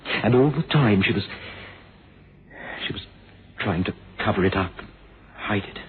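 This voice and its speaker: An actor playing an earnest, wounded young man in a suspense radio drama, speaking haltingly and repeating words. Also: on the low side, expressive. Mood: subdued, pained. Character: troubled, wounded young man with violent past. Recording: noisy phone-like vintage radio recording.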